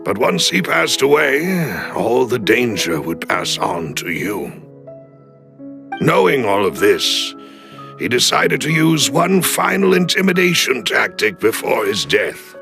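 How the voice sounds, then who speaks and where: An actor playing a loyal, deferential older male retainer who speaks gravely and formally in a video game cutscene. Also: mid-range voice, very animated, music in the background, exaggerated voice. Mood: somber. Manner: formal, measured, deliberate phrasing.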